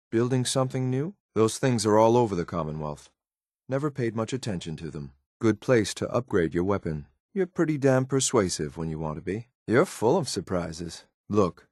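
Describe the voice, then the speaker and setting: A man acting as a stoic, loyal but ruthless synthetic soldier in a video game, with a clipped, military, deadpan delivery. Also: fairly low pitch, no room sound, clean studio sound. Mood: cool, matter-of-fact. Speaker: companion character in a video game. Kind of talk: scripted game voice lines.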